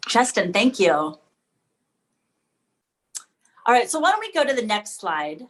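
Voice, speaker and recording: A woman with a medium-pitched voice hosts an online meeting for educators. She presents conversationally, like a moderator opening things up to the group. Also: sing-song, a little slow. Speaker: host of an online session for educators.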